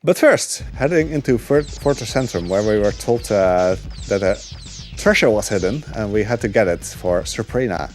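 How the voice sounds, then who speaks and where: A man casually commentating his own speedrun live, relaxed and rambling, with many ums and uhs. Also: on the low side, normal speed, soft background music.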